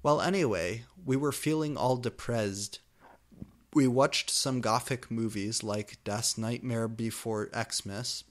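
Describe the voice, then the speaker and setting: A man hosting a reading of amateur fan fiction, pronouncing every typo and abbreviation exactly as written. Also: medium pitch. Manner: deliberately reads typos and abbreviations literally.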